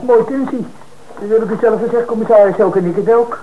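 A defiant male suspect in a lineup, played by a man, protesting sharply in a raised, strained voice. He sounds distant and is heard through a clearly audible hiss from an old radio recording. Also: high voice, a little fast, narrow, tinny audio, lightly acted. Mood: indignant.

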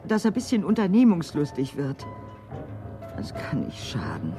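An actor playing a put-upon, grumbling carer in a radio drama monologue, muttering through chores in loose rhyme. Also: male voice, a little high, huge pitch swings, moderate pace. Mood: fretful, dutiful. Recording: faint music behind.

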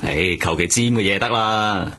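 A low, husky male voice with a Hong Kong Cantonese accent, playing a seasoned cop in a radio drama. He is streetwise, offhand and a little cagey, sure of his own methods. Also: normal speed, sharp tone. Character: cagey, self-assured veteran male police detective, streetwise and odd.

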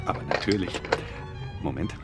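An actor playing an engineer, a grumbling boss and indulgent husband, sighing and muttering in a radio play. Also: male voice, moderate pace, music in the background, close to an everyday voice.

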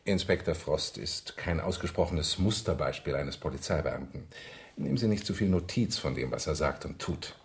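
An actor playing a brisk senior police inspector in a radio crime drama, authoritative and collegial with an ironic edge. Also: male voice, a bass voice, gravelly. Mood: confidential, firm. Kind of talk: 1970s German radio crime drama acting.

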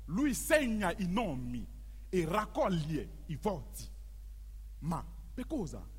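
A man performing as an ironic storyteller, delivering a theatrical monologue with emphatic, declamatory phrasing and dramatic pauses. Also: high-pitched, wildly swooping pitch, breathy edge, exaggerated voice. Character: male storyteller, ironic, emphatic, recounting local history. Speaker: stage narrator. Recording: long reverberant hall.

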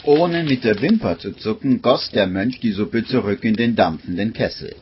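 A man narrating a scripted radio play as a measured, wry storyteller. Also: fairly low pitch, expressive, close to an everyday voice. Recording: muffled old radio recording, faint noise.

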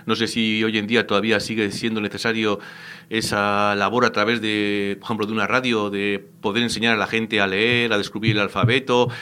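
A man's voice: fairly low pitch, flat delivery, fairly quick, pushed and bright, clear, resonant tone. A man hosting a radio interview, speaking with frequent hesitations and fillers. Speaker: radio show host.

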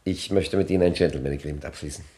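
An actor playing a cheeky, self-assured gangster boss with jovial mock politeness in a satirical radio crime drama. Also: male voice, a bass voice, steady tone, very fast, mellow. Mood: suave, amused. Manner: jovial mock politeness, repeats little words.

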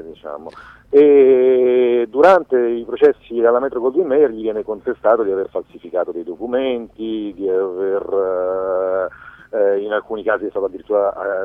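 A man giving a conversational radio interview as a filmmaker, haltingly searching for words and restarting phrases. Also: lowish voice, no room sound. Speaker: documentary filmmaker being interviewed. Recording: dull lo-fi radio sound, dry.

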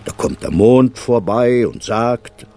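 A man narrating a radio play as a storyteller, deliberate, pausing phrase by phrase. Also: medium pitch, warm tone, slight background noise.

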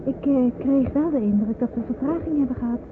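A woman's voice: high-pitched, fairly quick, narrow, tinny audio, close to an everyday voice. A woman playing an earnest, uneasy young woman in a radio drama, hesitant and polite, on a noisy old recording. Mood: polite, curious. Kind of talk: Dutch radio drama acting.

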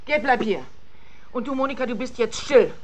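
Pleading, put-upon wife and mother, played by an actress: high-pitched, reproachful, radio play. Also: normal speed, a little hiss or hum, lightly acted. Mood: distressed. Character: long-suffering wealthy widowed mother, remarried, pleading. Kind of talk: German radio drama acting.

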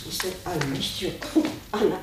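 An actress playing an anxious, excitable mother in a radio drama, alarmed and exclaiming. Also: on the low side, fairly quick, distant mic, close to an everyday voice. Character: anxious, excitable middle-class mother of a household. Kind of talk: German radio drama acting. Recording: echoey stairwell-like room, faint hiss.

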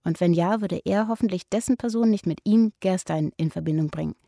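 A woman's voice: on the low side, brisk, creaky, dark tone, no echo, studio quality. A woman reading stage directions as a scripted narrator.

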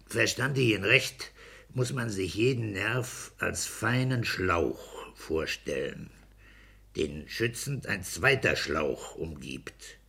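Radio-drama role: smug, blunt minor civil servant, low husky voice, lecturing and pedantic. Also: male voice, expressive, slow, pushed and bright, heavily acted. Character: smug, blunt petty-bourgeois civil servant, self-satisfied husband. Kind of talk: 1960s German radio play acting.

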